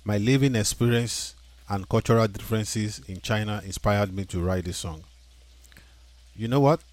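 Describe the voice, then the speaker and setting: A man telling his own story as a musician guest on a radio show, speaking earnestly and unhurriedly with plain phrasing and pauses. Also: lowish voice, a little mellow.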